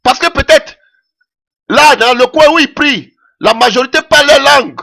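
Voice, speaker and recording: A man's voice: extremely high pitch, normal speed, gravelly, sharp tone. A man preaching a sermon in French that is not his mother tongue, impassioned and punctuating his points with "Alléluia".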